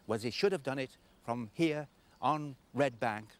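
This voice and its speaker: A man's voice: medium pitch, normal speed. A man giving explanatory literary commentary as a presenter, discursive and emphatic, breaking phrases for weight. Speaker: documentary presenter, literary lecturer.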